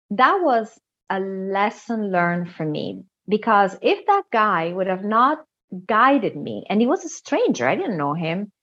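A woman from Italy with an Italian accent, talking conversationally as an interview guest and telling stories. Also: medium pitch. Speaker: guest, international recruitment director. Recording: slightly dull, lo-fi sound.

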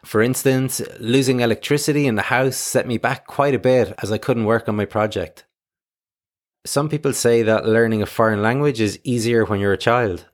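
A man hosting an English lesson, clearly explaining vocabulary and phrasal verbs with light personal asides. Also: mid-range voice, fairly even intonation, normal speed. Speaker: English teacher and host.

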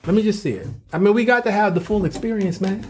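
A man's voice: high voice, fast talker, noisy background. A man, a professor, chatting casually as a podcast co-host in an office. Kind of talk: casual chat.

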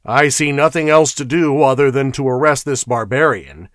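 A man's voice: medium pitch, moderate pace, fairly bright tone, no echo, clean studio sound, pitched above the performer's own voice, natural voice. A man voicing a scornful, impatient male official who blusters and bullies in a fantasy audiobook. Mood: contemptuous. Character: sneering, bullying, impatient male official who scoffs at superstition.

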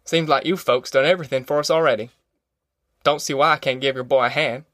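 A man's voice: mid-range voice, steady tone, brisk, a bit bright, dry recording, studio quality, natural voice. A man voicing an agreeable, grateful traveller, in an audiobook. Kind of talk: audiobook character acting.

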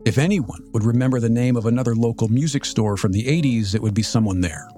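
A man in his fifties with an American accent, telling a scripted personal story as a podcast host in a measured, reflective, articulate way. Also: medium pitch, dark tone. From Southern California, United States. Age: 50s. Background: music.

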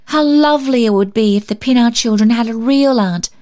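A high, eager little girl from a storybook, played by a woman reader. She is cheerful and tender-hearted. She speaks in earnest, excited exclamations. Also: fairly quick, close to an everyday voice.

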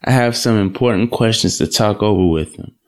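A man's voice: on the low side, a little fast, lightly acted. Man playing polite young man, plainspoken. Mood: casual, firm. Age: young adult. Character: polite, playful young man courting a girl. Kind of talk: audio drama dialogue.

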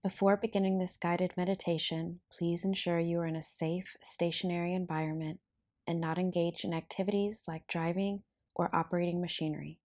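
A woman hosting a guided meditation, speaking in a calm, soothing, measured way. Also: on the low side, faint music behind. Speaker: podcast host and meditation guide.

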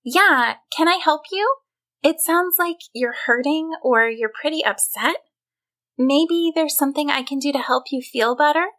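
A woman voicing a fearless, helpful young woman in a storybook, speaking boldly in a high, unconcerned voice. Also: unhurried, fairly bright tone. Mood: helpful, reassuring. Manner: bold, unconcerned, high-pitched calling. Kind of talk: storybook character voice.

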